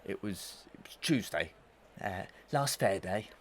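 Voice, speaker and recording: An actor playing a humble, muddled village witness in an audio melodrama, halting and stammering as he rambles. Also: sits low, lively intonation, full character voice. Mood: nervous, muddled. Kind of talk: melodrama audio play acting.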